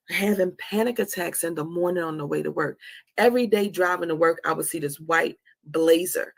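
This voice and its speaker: Female, forties, heavily creaky and husky. Candid motivational speaker, leans on repetition for emphasis. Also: fairly low pitch. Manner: emphatic, repeats phrases for effect. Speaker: host of a live talk on anxiety.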